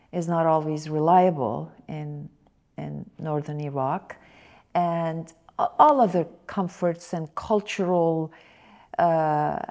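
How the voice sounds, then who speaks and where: A woman interviewer asking long questions in a halting, hesitant way full of fillers. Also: deep voice, a little mellow.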